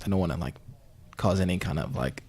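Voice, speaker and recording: Man playing an awkward low-voiced podcast interviewer. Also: fast, darkish tone, lightly acted. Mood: awkward, earnest. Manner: awkward, hesitant, conflict-avoiding. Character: earnest bumbling male amateur investigative podcast host. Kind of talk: mock investigative interview.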